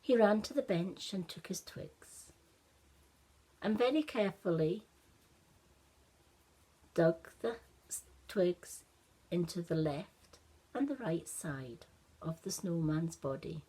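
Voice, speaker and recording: A woman tells a children's story at a slow pace with lots of dramatic pauses. Her pitch moves up and down in a lively way, and her voice has a breathy, husky edge. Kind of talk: expressive children's story narration.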